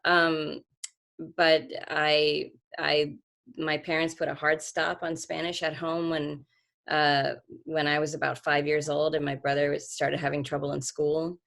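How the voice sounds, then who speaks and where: A woman's voice: low voice, level tone, measured pace, gravelly, fairly bright tone. A woman author speaking earnestly on a video call, reflective, with frequent restarts. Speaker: author being interviewed.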